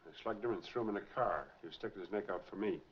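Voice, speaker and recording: A man's voice: lowish voice, rapid-fire, breathy edge. An American actor in middle age playing a hunted, determined man in 1940s film noir, speaking in clipped, hard-boiled phrases. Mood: grim, weary. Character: hunted, determined man trying to clear his name. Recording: muffled, noisy 1940s film soundtrack.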